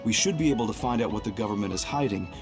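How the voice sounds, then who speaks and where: An actor playing a stern, calm military commander and scheming reformer in an English game dub, speaking formally and deliberately. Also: male voice, fairly low pitch, lightly acted. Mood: grave, resolved. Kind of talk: English-dubbed video game cutscene acting. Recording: music behind the voice.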